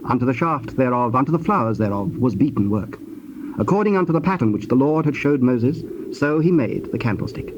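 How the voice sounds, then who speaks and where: A man with a British accent reads scripture as a formal narrator, measured and precise. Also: mid-range voice, lively intonation. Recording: dull, noisy old cassette tape.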